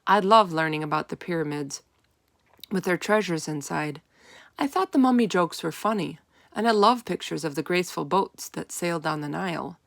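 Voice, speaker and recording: A woman reads a children's chapter book aloud with expressive emphasis. Her voice sits a little low and has a touch of creak, recorded close and dry. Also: normal speed, no echo. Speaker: storyteller reading aloud. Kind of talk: expressive children's read-aloud narration in first person.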